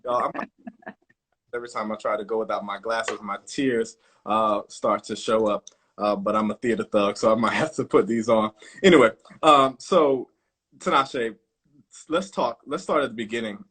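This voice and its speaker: A man's voice: fairly low pitch, steady tone, moderate pace, fairly bright tone, breathy edge. Enthusiastic American man, podcast host, casual and effusive. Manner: enthusiastic, casual, many uh fillers. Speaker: podcast host, artistic director. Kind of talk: podcast interview.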